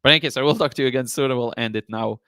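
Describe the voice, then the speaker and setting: Male live stream host, mid pitch, slightly hoarse, rambling and thankful, chatty delivery, very dry room. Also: very fast, pushed and bright, no echo. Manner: rambling, appreciative, often thanking viewers. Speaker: live stream host, watercolor painting teacher. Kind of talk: casual chatty live stream, answering viewer chat.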